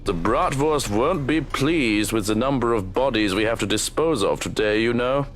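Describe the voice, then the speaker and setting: A man's voice: medium pitch, moderate pace, faint music behind, lightly acted. A man voicing a menacing, cruelly amused henchman in a visual novel, taunting with mock politeness. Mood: gleeful taunting. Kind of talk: visual novel villain dialogue, read aloud.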